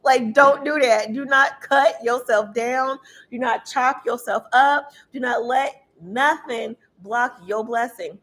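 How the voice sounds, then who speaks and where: A woman with a loud, carrying voice giving a casual tarot reading, emphatic and preachy, swearing freely. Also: fairly high pitch, takes it slowly. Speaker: tarot reader.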